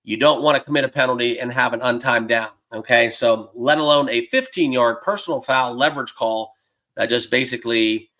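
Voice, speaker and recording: A man hosting a sports betting livestream, slightly hoarse as he loses his voice, talking loosely with many fillers and greeting viewers by name. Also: mid-range voice, fairly even intonation, brisk, bright, forceful tone. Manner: loose and rambling, lots of fillers. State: losing his voice, a bit hoarse. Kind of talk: casual livestream chat. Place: dedicated home studio room.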